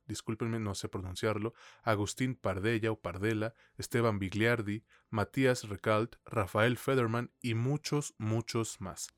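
A man's voice: lowish voice, no echo, studio quality. A man with a Mexican accent co-hosting a podcast, giving film opinions in casual, slangy talk. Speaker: podcast co-host reviewing films and series. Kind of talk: casual conversational film review.

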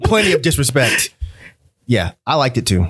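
A man's voice: mid-range voice, sing-song, moderate pace, a bit bright, husky. A man bantering casually about films, blunt, teasing and heavily profane.

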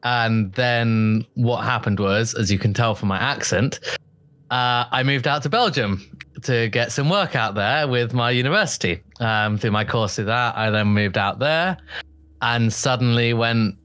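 A man's voice: medium pitch, unhurried, a bit bright, no room sound. A young man telling his story as a podcast guest in a casual, chatty way, often laughing and quoting himself.

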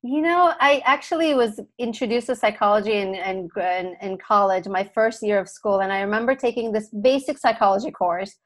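A woman's voice: mid-range voice, moderate pace, hardly any pauses. A middle-aged woman from Turkey speaking conversationally as an expert podcast guest, explaining things.

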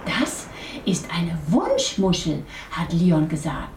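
A woman playing a friendly, caring young female pirate, talking gently and expressively to children in a puppet play. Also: fairly low pitch, big pitch swings, slow pace, pitched below the performer's own voice, heavily acted. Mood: gentle, explaining. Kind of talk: children's puppet-theatre storytelling. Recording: echoey room, distant mic, faint noise.

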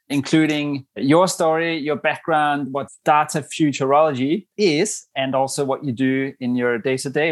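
Male podcast host, measured formal delivery, mid pitch, dry close sound. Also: dry recording. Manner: measured, clearly read introduction, warm and formal. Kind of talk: scripted intro then interview.

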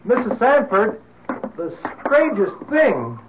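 A flustered repairman in a vintage radio play, stammering in bewilderment. His high voice jumps around in pitch, over a noisy, muffled old recording. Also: huge pitch swings, slow, full character voice. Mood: bewildered, agitated. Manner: stammering, hesitant, agitated. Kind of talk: old-time radio drama acting.

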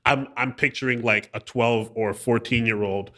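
A man's voice: medium pitch, fairly even intonation, normal speed, bright, forceful tone. A man hosting a podcast, asking questions in earnest, long winding sentences. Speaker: podcast host. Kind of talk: interview questions.

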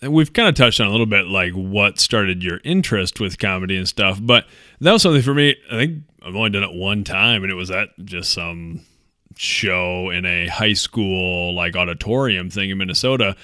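A man co-hosting a podcast in casual comedic chat, with a jokey, self-deprecating, deadpan way of riffing. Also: low voice, normal speed, smooth, no echo, studio quality. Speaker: podcast co-host.

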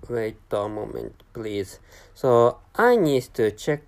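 A man speaking English as a second language, talking alone in a halting way, with many restarts and self-corrections. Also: medium pitch, sing-song, darkish tone. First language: not English. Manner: apologetic about his English. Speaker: commentator. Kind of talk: unscripted solo monologue.

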